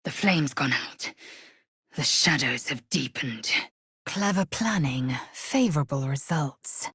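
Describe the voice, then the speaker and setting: An actress voicing a fierce, proud alien warrior woman in a video game, husky and clipped, with a haughty formal delivery. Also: low voice, expressive, slow pace, a bit bright, no room sound, clean studio sound, full character voice. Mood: cold, measured. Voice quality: husky, breathy rasp. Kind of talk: video game character acting.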